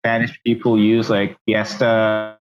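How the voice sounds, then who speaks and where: A man from the Philippines co-hosting a podcast in casual chat, halting and searching for words. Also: fairly low pitch, completely flat delivery. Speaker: podcast co-host. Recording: slightly dull, lo-fi sound.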